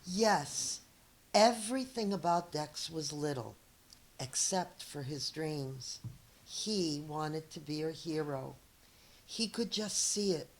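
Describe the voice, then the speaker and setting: Slow-reading middle-aged woman, lower-pitched voice. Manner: deliberate, expressive storytelling to children. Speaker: elementary school teacher reading a story. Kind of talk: read-aloud of a children's picture book with chatty asides.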